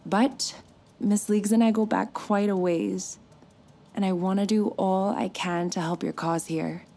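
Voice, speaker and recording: An actress playing a polite woman newspaper reporter, speaking in a courteous, measured way. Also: medium pitch, fairly even intonation, mellow, natural voice. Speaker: journalist. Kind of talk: audio drama acting.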